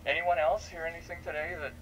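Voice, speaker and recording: A man's voice: fairly high pitch, level tone, quick pace, a bit bright. A man speaking informally as he leads a discussion with students, probing with questions and repeating words. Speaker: panel speaker leading audience discussion. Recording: distant mic, noisy room.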